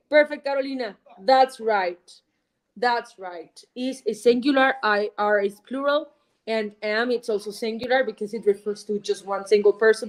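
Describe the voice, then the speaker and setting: A woman, a Spanish speaker teaching an English class live online. She is upbeat, switches between Spanish and English, repeats phrases and praises students by name. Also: medium pitch.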